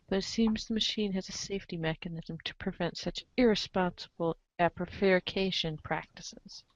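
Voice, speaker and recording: A woman narrating a comic story aloud in an expressive read-aloud style, on a slightly dull recording. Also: medium pitch, dry recording. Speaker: narrator of a group webcomic reading.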